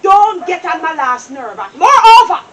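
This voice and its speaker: A woman playing a fiery, devout Jamaican grandmother in a drama, scolding loudly and repeating her questions. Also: very high-pitched, big pitch swings, moderate pace. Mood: stern, exasperated. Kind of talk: acted comedy drama dialogue. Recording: distant mic with some background noise.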